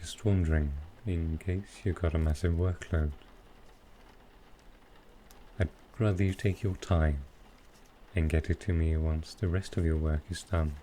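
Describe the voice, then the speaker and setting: Man playing a very deep, flirty villain. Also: fairly even intonation, slight background noise. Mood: casual, suggestive. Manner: teasing, unhurried, softly suggestive. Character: smooth, flirtatious male supervillain courting his tailor. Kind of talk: intimate ASMR roleplay acting.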